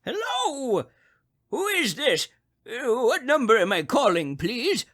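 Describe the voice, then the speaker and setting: Radio drama, male actor as nervous old man, crotchety and stammering, pitch swinging widely, slightly breathy husk, music underneath. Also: wildly swooping pitch, slow, fairly bright tone, exaggerated voice. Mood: anxious, confused. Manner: stammering, fretful, agitated. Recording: eerie music behind the voice.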